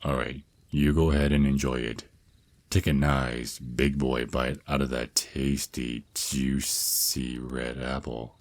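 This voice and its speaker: A man's voice: very deep voice, flat delivery, slow, slight background noise. A man playing a tender, reassuring vampire naga mentor and boyfriend in an ASMR roleplay, speaking soothingly and affectionately. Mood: gentle, encouraging.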